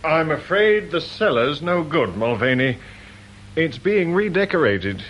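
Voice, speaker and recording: Terse sardonic officer, muffled sound. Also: male voice, on the high side, sing-song, a little slow. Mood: cool, ironic. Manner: terse, understated, dry. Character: clipped, drily sardonic senior police officer. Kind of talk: radio drama acting. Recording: dull old radio recording, faint noise.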